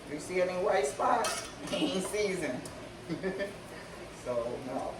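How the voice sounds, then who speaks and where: Woman, slightly hoarse, relaxed cooking vlog chat, distant mic, loud dish clatter. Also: fairly low pitch, takes it slowly, background noise. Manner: relaxed, chatty, talks to viewers as y'all. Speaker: cooking vlogger. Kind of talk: casual cooking tutorial chat. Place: home kitchen. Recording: roomy kitchen, far from the mic, loud kitchen clatter.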